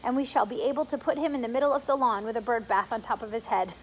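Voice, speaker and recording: A woman doing a gloomy, deadpan earthworm. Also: fairly high pitch, quick pace, a little hiss or hum, lightly acted, low-quality recording. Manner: glum, deadpan.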